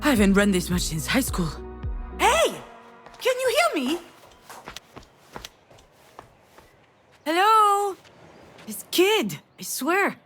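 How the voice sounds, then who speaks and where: A woman's voice: extremely high pitch, wildly swooping pitch, a little slow, full character voice. A haunted, grieving mother over music. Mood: alarmed, calling out. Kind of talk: dramatic video game voice acting.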